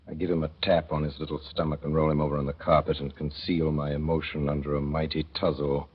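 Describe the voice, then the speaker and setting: A man narrating as a gentle, thoughtful father in a 1930s radio drama, with measured, tender storytelling. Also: a bass voice, flat delivery, slightly rough. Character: gentle, thoughtful father telling of his son. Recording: dull old 1930s radio recording.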